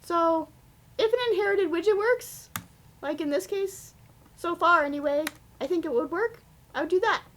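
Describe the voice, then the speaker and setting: Female performer as chirpy bird mascot, tiny very high voice, conversational coding supervisor. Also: moderate pace, fairly bright tone, slight background noise. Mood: explanatory.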